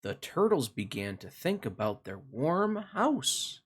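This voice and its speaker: Slow, animated man reading to children. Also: medium pitch, breathy edge. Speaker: host of a children's read-along. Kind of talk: slow, expressive picture-book narration for children.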